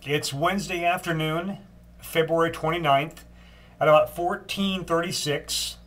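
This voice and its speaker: An older man with a stuffed-up cold voice giving a casual daily video update, unhurried, with frequent ums and restarts. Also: on the high side, fairly even intonation, a bit bright. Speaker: long-distance walker giving a daily update.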